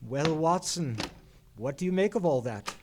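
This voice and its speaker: A Victorian gentleman sleuth in a radio play. His voice sits fairly high, and he speaks in a formal, precise, musing way with theatrical confidence. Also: animated, unhurried, loud music behind the voice. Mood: assured, brisk. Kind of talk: old-time radio mystery play, performed live.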